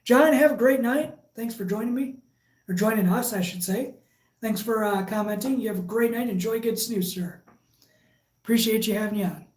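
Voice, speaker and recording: A woman with a Michigan accent hosting a casual live stream, chatting with viewers in a relaxed, halting way with lots of fillers and restarts. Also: a little high. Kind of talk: casual live chat, reading viewer comments. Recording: echoey room.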